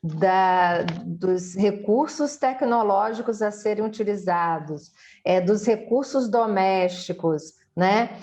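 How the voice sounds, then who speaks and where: Slow-talking Brazilian woman interviewing. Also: medium pitch, darkish tone. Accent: Brazilian Portuguese. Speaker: interviewer and host. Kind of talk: conversational interview questioning.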